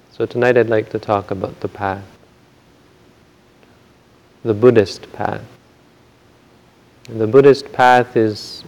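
A man giving a Buddhist Dhamma talk in a very quiet voice, gentle and hesitant, with frequent pauses and restarts. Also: lowish voice, vocal fry, low-quality recording. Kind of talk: soft-spoken Dhamma talk.

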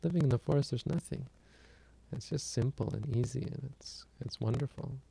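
A man giving a relaxed, plainspoken meditation talk and answering questions on a livestream. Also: medium pitch, gravelly, slightly rough, rough recording. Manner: plainspoken, unhurried, loosely conversational. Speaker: meditation teacher.

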